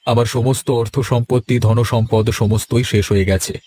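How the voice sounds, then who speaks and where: A man's voice: medium pitch, fairly even intonation, a little fast, dark tone, slight background noise, close to an everyday voice. A man playing a weary, impoverished but courteous village landlord in a cartoon fairy tale. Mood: weary, despairing. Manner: self-pitying, questioning, addressing youngsters as an elder.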